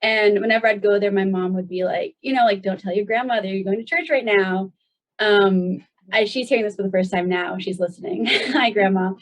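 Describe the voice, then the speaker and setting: A woman's voice: mid-range voice, brisk. A woman in her twenties from the United States, a guest telling her story in a loose, chatty way with plenty of "um" and "like". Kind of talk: casual personal storytelling. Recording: dull lo-fi sound.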